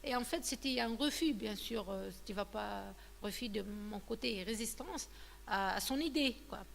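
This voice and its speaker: A woman in her thirties, from Tunisia, tells her story in an interview with a gravelly edge to her voice. She talks haltingly, with many fillers and restarts. The voice rings with a long reverb, and a faint hum sits underneath. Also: mid-range voice. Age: 30s. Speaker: guest giving a testimony. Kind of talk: personal testimony interview.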